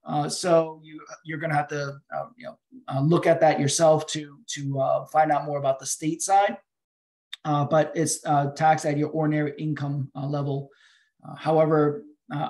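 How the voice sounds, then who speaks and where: Male webinar expert, middle-aged, slightly higher voice, explanatory and halting, on a video call. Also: darkish tone. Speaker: financial advisor guest answering questions. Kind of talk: webinar question-and-answer.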